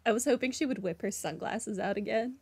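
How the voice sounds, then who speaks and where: A woman's voice: medium pitch, brisk, a little mellow. A woman giving casual playthrough commentary as a game streamer, sometimes reading dialogue aloud. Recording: game music behind the voice. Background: video game music.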